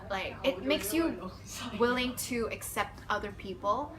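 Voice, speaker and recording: A woman's voice: a little high, animated, unhurried, crowd noise. A young woman from South Africa answering interview questions informally, filling her speech with "like". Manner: informal, lots of "like".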